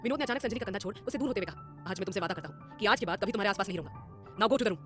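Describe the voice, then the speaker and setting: A hot-tempered young man, hurt and lonely, snapping fast and loud in an audio story, as a woman narrator gives him voice. His speech rushes along, and when the anger fades he sinks into low, bitter self-talk. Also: animated, faint music behind, lightly acted. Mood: furious. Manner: shouting in anger, then quiet inner brooding. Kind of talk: Hindi audio story character voice.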